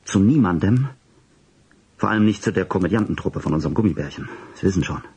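A flustered male boss in a radio play, with a low and very rough, breathy voice. He issues secretive orders in an officious, clipped way, stumbling and repeating himself as he dictates. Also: lively intonation, fast, a little mellow, heavily acted. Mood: agitated, urgent. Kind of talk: radio drama acting, phone calls and dictation.

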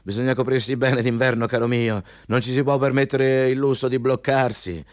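An actor in an Italian radio play playing a ruthless, patronizing company interviewer who talks in a hectoring, insistent way. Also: male voice, mid-range voice, flat delivery, moderate pace, no room sound, clean studio sound, lightly acted. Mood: lecturing.

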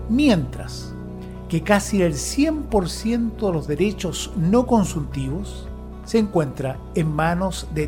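A narrator reading a scripted, informative text in a measured documentary style. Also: mid-range voice, a little mellow. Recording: music bed under the voice. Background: music.

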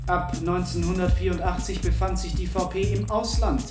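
A man's voice: sits high, steady tone, moderate pace. A man speaking as a police detective, guarded and bureaucratic. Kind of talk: formal official statement. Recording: echoey room with music behind.

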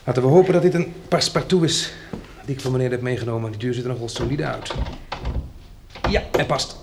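An actor playing a practical, wry survivor of a catastrophe in a radio drama, matter-of-fact and dryly ironic. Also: male voice, mid-range voice, brisk, creaky, a little hiss or hum, natural voice. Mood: wry, guarded.

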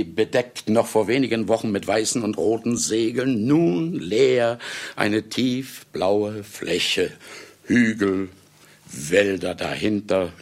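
An actor playing a brash, hard-drinking famous male novelist, addressing the audience in a radio play with sly, ceremonious irony and a gravelly, husky voice. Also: fairly low pitch, heavily acted. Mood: ironic, savouring. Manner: sly, chuckling, ceremonious asides with drawn-out words. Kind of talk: theatrical radio play monologue.

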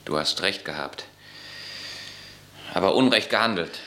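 An actor playing a troubled, muddled yet domineering soldier husband in a radio drama, blunt and brooding. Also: male voice, deep voice, unhurried, pushed and bright, heavily acted. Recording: echoey, noisy recording with clicks.

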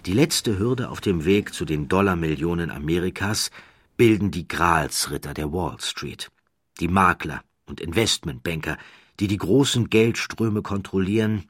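A man narrating a scripted documentary story in a measured, suspenseful, dramatic manner. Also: deep voice, a bit bright, slightly rough, dry recording, clean studio sound.

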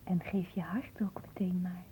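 A woman playing a coquettish, imperious young noblewoman in a radio play, teasing and breathy, with dramatic delivery. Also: lowish voice, flat delivery, normal speed, dark tone, a little hiss or hum, close to an everyday voice, rough recording. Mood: coquettish, possessive. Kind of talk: theatrical radio play acting.

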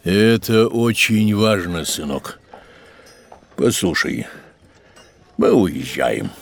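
An actor playing a careworn middle-aged father, a gently apologetic shopkeeper with a gravelly voice, in an audio drama. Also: low voice, very animated, very slow, a little hiss or hum, full character voice. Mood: heavy, tender. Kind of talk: Russian audio drama acting.

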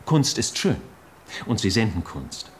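An actor playing a slick, insistent political fixer in a radio drama, persuasive and grandly rhetorical. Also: male voice, lowish voice, sing-song, a little slow, slight background noise. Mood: smooth pressure. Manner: rhetorical, emphatic, pronouncing slogans. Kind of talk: German radio drama acting.

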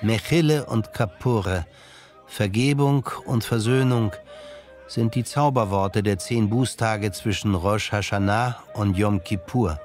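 A man's voice: lowish voice, takes it slowly, darkish tone. A man narrating a literary radio drama in scripted German storytelling style. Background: music.

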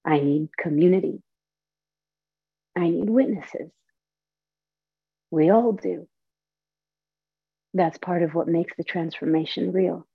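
Slow, earnest woman from Saudi Arabia. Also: fairly low pitch, gravelly, slightly rough, no echo. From Saudi Arabia and Palestine. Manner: earnest, slow, deliberate delivery. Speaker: storyteller and performer. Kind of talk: theatrical monologue and personal talk. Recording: dull, lo-fi audio.

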